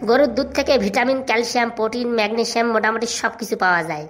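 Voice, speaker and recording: A woman plays a cheeky cartoon schoolboy with a highish voice. He rattles things off at great speed, like a recited lesson, sure of himself and bossy with it. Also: rapid-fire, fairly bright tone, close to an everyday voice. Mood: eager reciting. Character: cheeky know-it-all village schoolboy Hagu, bossy and boastful. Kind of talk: comic cartoon dialogue.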